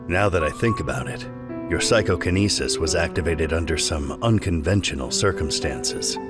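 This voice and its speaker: Man acting video game researcher, deep male voice, cold, clinical, matter-of-fact, clear backing music. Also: moderate pace, natural voice. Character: cold male research scientist running experiments, detached. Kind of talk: English video game cutscene dub.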